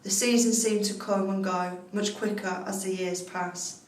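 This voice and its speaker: A woman reciting poetry in a measured, scripted reading style. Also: medium pitch, fairly even intonation. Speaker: poetry reader. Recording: echoey, distant mic, faint noise.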